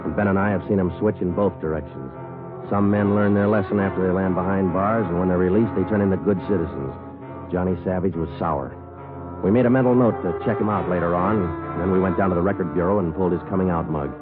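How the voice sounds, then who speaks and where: An actor playing a terse, unflappable American police detective in a radio drama, with clipped, matter-of-fact delivery. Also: male voice, low-pitched, fast talker, music in the background, lightly acted. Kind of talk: 1950s radio drama narration and dialogue. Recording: tinny old radio recording.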